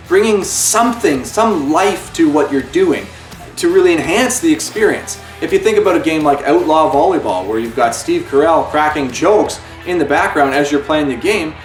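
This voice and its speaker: A man's voice: a little high, recorded from a distance. A man hosting his own channel, giving an earnest, impassioned spoken review of a video game. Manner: earnest and impassioned, with pleading appeals. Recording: roomy echo, away from the mic, faint music.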